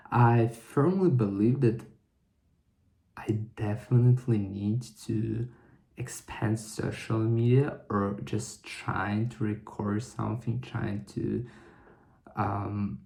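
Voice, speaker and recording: A young man muses slowly to camera, stopping and restarting as he works through his thoughts. His voice is mellow and gravelly, with a low pitch. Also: fairly even intonation. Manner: halting, thinking aloud with many restarts. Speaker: vlogger. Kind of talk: reflective solo vlog monologue.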